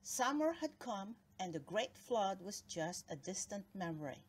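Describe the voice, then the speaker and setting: A woman reads a children's storybook aloud with expressive, dramatic phrasing. Her pitch sits in a middle range for a woman, and she takes her time, speaking slowly and deliberately. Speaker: storybook reader.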